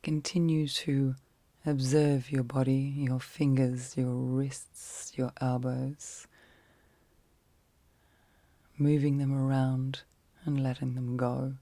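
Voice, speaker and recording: A woman leading an ASMR guided sleep meditation in a soft, breathy, often whispered voice, speaking gently and soothingly in short phrases with long pauses. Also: a bass voice, little pitch movement, dry recording.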